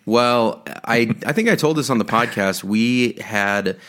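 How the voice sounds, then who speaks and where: A man's voice: mid-range voice, a little slow, a bit bright. A man who hosts a podcast, chatting casually and making self-mocking jokes. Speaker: priest, podcast host. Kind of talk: casual chat.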